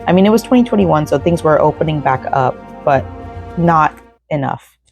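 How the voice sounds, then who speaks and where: A woman hosting a relaxed let's-play while knitting, chatting in a rambling way and laughing often. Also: deep voice, normal speed, vocal fry. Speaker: gaming and crafting channel host. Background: faint game music.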